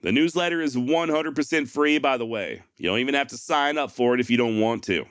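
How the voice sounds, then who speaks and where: A man hosting a podcast in a deep voice, talking casually and plainly to his listeners with emphatic, salty phrasing, close on a studio microphone. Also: a little fast, a bit bright, clean tone, dry recording. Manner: plainspoken, mildly profane, emphatic. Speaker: podcast host. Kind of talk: casual direct address to listeners. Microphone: close dynamic studio microphone, processed.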